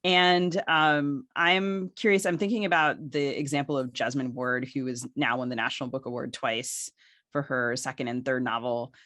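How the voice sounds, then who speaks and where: A woman's voice: very deep voice, fairly even intonation, moderate pace, fairly bright tone, no echo, studio quality. A woman co-hosting a podcast, framing long, careful questions for her guests. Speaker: podcast co-host.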